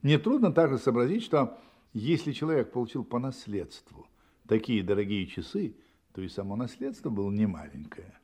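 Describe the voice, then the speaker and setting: A brilliant detective in a radio drama, self-assured and analytical. His slightly rough, husky voice moves freely up and down as he sets out his reasoning with deliberate emphasis and theatrical pauses. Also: mid-range voice, sing-song, mellow. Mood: confident, explanatory. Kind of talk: Russian radio play acting.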